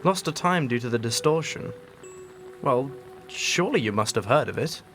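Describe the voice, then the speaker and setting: A man acts out a calm, enigmatic male agent in an audio drama. His speech is measured and formal with a dry wryness, set against clearly audible music. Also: mid-range voice, close to an everyday voice. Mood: grave, measured. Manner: measured, formal, gently wry. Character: calm, mysterious male agent guarding against time distortions.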